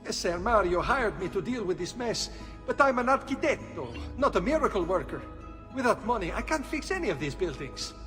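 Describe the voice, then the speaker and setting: A fussy, eager Italian architect pitches services in a game cutscene. The voice is high for a man and very animated. Soft music plays behind in a reverberant space. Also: brisk, heavily acted. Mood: exasperated.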